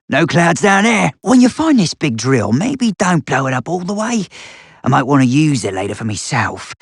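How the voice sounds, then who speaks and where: A man voicing a cranky, paranoid hermit creature in a video game, with folksy, grumbling, excitable speech. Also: on the high side, dry recording, studio quality. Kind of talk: video game character voice lines.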